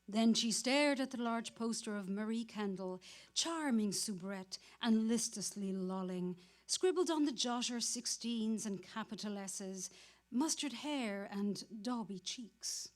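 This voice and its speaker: A woman's voice: on the high side, measured pace. A woman narrator giving a scripted dramatic reading of literary prose.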